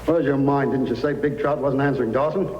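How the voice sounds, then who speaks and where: A man acting a sly, ruthless frontier gang boss in a mid-pitched voice. He gives orders in the manner of an old radio serial villain. The recording is full of noise, with a long reverb. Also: fairly even intonation, brisk, darkish tone, muffled recording, close to an everyday voice. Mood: scheming, cold. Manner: bossy, sly.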